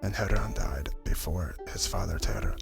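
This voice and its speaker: A man reading scripture as a hushed, breathy ASMR narration in a whispery voice. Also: deep voice, moderate pace. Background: background music.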